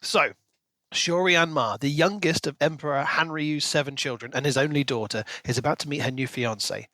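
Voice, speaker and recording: A man's voice: on the high side, fairly quick, sharp tone, no room sound. A man co-hosting a book podcast, speaking in a conversational way close to the microphone. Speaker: podcast co-host. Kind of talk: casual book discussion with prepared recap.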